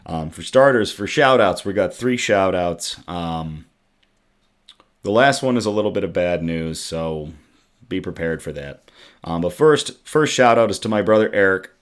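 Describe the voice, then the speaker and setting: A man with a raspy, worn-out voice casually hosting a good-news livestream from his home setup, chatting loosely with many ums and reading out viewer comments. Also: low-pitched, lively intonation, a little slow. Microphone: desktop USB microphone.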